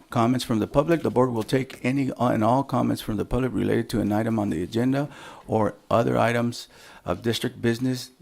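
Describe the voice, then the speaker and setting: A man chairing a school board meeting, reading agenda items aloud in a halting way. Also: mid-range voice, gravelly, a little mellow.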